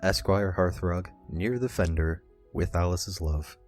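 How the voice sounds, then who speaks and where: A man's voice: low voice, measured pace, soft background music. A man narrating a classic children's story from a script, as in an audiobook.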